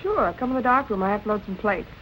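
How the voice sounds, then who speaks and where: A woman's voice: mid-range voice, animated, fast, fairly bright tone, a little hiss or hum, natural voice, low-quality recording. An actress playing a wry, wisecracking nightclub photographer girl in a 1940s film noir style. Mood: skeptical.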